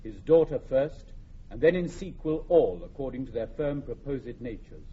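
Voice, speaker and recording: A man's voice: medium pitch, expressive, moderate pace, husky. An actor playing a formal English lord reporting to his king in a Shakespearean radio drama. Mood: formal, proud. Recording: muffled old radio recording.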